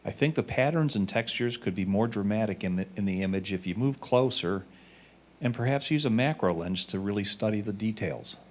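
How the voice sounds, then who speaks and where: A man's voice: fairly low pitch, expressive, brisk. A man reading a judge's written photo comments aloud. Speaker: reader of judges' critiques.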